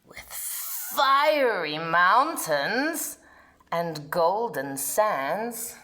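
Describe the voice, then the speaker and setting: A woman reading a rhyming children's picture book aloud in an expressive, dramatic way with drawn-out phrasing. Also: fairly low pitch.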